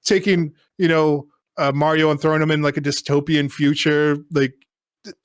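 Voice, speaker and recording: A man's voice: a little high, little pitch movement, normal speed, clean tone, no room sound, clean studio sound. A man co-hosting a casual podcast, rambling loosely and leaning on "like" and "dude". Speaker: podcast co-host. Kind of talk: casual chat.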